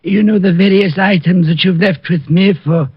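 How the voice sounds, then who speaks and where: A fussy proper butler type, high husky voice, bashful, old dull radio sound. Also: male voice, fairly even intonation, normal speed, no room sound. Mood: hesitant, deferential. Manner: prim, hesitant, obsequious. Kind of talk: 1940s radio comedy-drama acting.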